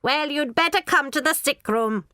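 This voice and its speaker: A woman's voice: sits high, normal speed, pushed and bright, dry recording, studio quality, pitched above the performer's own voice. Woman playing a harsh cruel orphanage matron. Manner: harsh, barking, clipped. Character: cruel stern older woman orphanage head.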